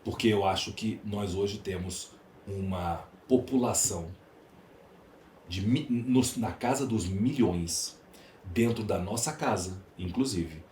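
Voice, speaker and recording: A man's voice: fairly low pitch, slow pace, a little mellow. A Brazilian man with a Brazilian Portuguese accent, speaking emphatically and rhetorically as an opinionated podcast guest. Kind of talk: opinionated interview talk. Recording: roomy echo, faint background noise.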